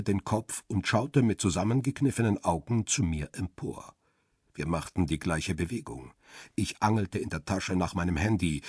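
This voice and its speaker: A man playing a cool, cynical paparazzo in a crime radio drama, speaking in a measured, deliberate and guarded way. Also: low voice, slightly rough, no echo, clean studio sound. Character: male paparazzo photographer, cool, cynical, guarded first-person narrator. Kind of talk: first-person crime radio drama narration and dialogue.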